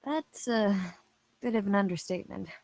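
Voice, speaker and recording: A woman's voice: medium pitch, moderate pace, a little mellow, no echo, natural voice. A woman acting a composed, skeptical police detective in a film script read, dry and matter-of-fact. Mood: composed, probing.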